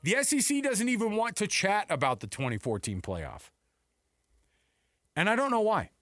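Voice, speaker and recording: Animated man co-hosting sports radio. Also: high-pitched, normal speed, no echo. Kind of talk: casual sports talk banter.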